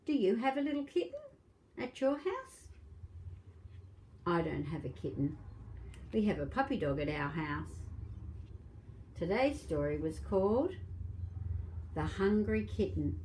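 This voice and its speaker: A middle-aged woman hosts a children's storytime. She speaks slowly at a medium pitch, in simple phrases with gentle questions aimed at young listeners. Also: sing-song, slight background noise, rough recording. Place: small domestic room indoors.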